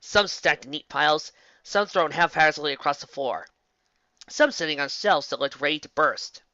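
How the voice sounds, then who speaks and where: A narrator giving an expressive storytelling reading of fan fiction. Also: mid-range voice, normal speed, dry recording. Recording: dull, muffled home recording.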